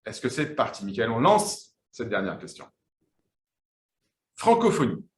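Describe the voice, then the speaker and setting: A man hosting a live quiz, lively and chatty, slowing down to build suspense. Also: medium pitch, roomy sound. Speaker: quiz show host.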